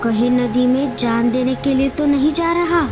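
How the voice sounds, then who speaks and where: A woman voicing a sharp-tongued, nagging female bird, a wife and mother, in a cartoon. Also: high voice, moderate pace, music in the background, natural voice. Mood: scornful. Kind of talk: Hindi cartoon dialogue acting.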